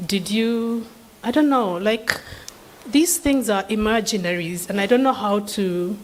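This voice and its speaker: A woman's voice: mid-range voice, unhurried, slight background noise. A woman speaking as a seminar panelist, giving an unscripted answer in an earnest, questioning way with frequent restarts. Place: seminar room.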